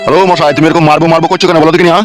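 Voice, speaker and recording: A man's voice: a little high, level tone, racing, music in the background, close to an everyday voice. An actor playing an exasperated, argumentative father in a cartoon comedy, protesting in a rural West Bengal accent. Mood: indignant. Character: indignant, exasperated father of a grown son.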